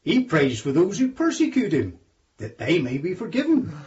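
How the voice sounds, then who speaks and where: Earnest warder, hugely animated. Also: male voice, a little high, moderate pace. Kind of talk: radio drama acting. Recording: dull lo-fi audio.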